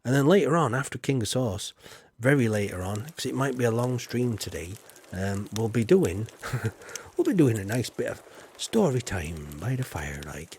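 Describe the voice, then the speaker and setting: A man's voice: medium pitch, darkish tone, a little hiss or hum. A man hosting a livestream, chatting to viewers in a rambling, folksy way full of filler. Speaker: livestream host. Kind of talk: casual livestream chat to viewers.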